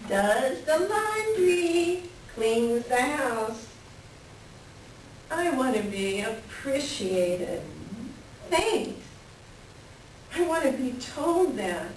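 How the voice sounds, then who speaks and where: A woman playing a lonely, frustrated farm wife in a play reading, plain-spoken and wistful. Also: a little high. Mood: hurt, yearning. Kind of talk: staged play reading, conversational dialogue. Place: library reader's theater reading. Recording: echoey room from far off, faint noise.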